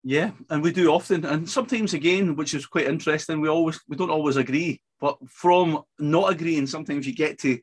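A man co-hosting a casual business podcast, relaxed and agreeable, with a fondness for old sayings. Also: on the high side, brisk, hardly any pauses, slightly rough. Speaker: podcast co-host.

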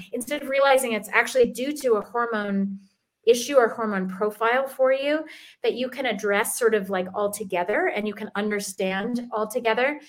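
Chatty middle-aged woman guest. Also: a little high. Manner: earnest, chatty, many ums and restarts. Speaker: fitness expert guest. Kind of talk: casual interview talk.

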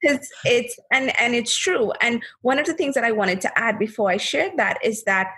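A woman in her thirties sharing reflections in a casual group discussion, earnest and thoughtful, dropping into quick quoted asides. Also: on the high side, a bit bright, husky. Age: 30s. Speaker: panel guest.

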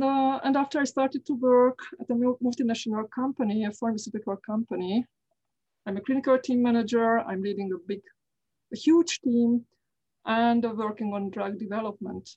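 A middle-aged woman with a Hungarian accent and a somewhat high voice. She answers interview questions in careful English, pausing and restarting as she searches for words. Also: darkish tone, highs cut off. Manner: careful, halting, frequent restarts. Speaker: guest. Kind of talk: casual interview talk.

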